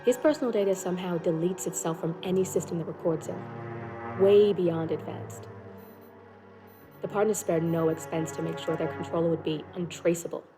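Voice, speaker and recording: A woman's voice: on the low side, moderate pace, over music, close to an everyday voice. A woman playing a tough, streetwise young hacker in a video game, blunt, wry and informal. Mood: wary, exasperated. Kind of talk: cinematic video game acting.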